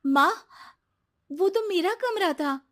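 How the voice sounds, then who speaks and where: A woman's voice: very high-pitched, lively intonation, takes it slowly, pitched above the performer's own voice, heavily acted. A woman voicing an earnest, unsure young married daughter with a plaintive, questioning manner in a cartoon moral story.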